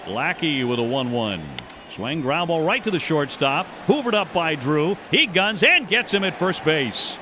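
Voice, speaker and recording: A man's voice: fairly high pitch, a little slow, hardly any pauses. Male baseball radio announcer over stadium noise. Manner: descriptive, clipped phrases that follow the action. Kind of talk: live play-by-play commentary. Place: ballpark broadcast position. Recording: noisy outdoor stadium ambience. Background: steady outdoor stadium noise.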